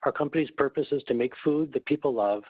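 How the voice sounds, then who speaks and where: Husky guy doing a formal finance webinar, sounds like a tinny phone call with some room echo. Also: medium pitch, quick pace. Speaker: corporate treasury executive presenting. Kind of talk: prepared webinar presentation. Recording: muffled phone line, roomy echo.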